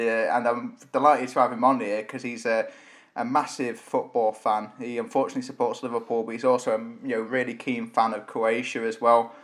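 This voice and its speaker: A man co-hosting a casual podcast. He rambles cheerfully and with enthusiasm, voice pitched in an ordinary middle range. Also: a bit bright. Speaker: podcast co-host.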